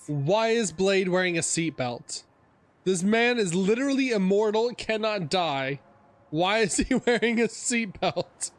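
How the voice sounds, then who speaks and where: A woman streamer giving casual, animated game reaction commentary in slangy language, sounding amused. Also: mid-range voice, measured pace. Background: game music.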